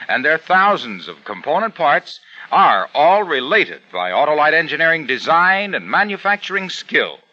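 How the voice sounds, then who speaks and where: A man delivers a 1940s radio commercial with hearty, salesy patter. His voice is bright and lively. The old recording sounds muffled. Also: a little high, normal speed. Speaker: ad pitchman.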